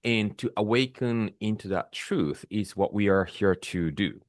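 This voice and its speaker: A man hosting a spiritual podcast, speaking in a calm, measured way and sometimes pausing to search for words. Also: on the low side, no room sound, studio quality. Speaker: podcast host. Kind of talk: reflective spiritual monologue.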